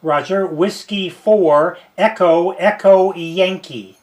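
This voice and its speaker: A man working a ham radio contact, slowly spelling his call sign in phonetics. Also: high-pitched, noisy background. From United States.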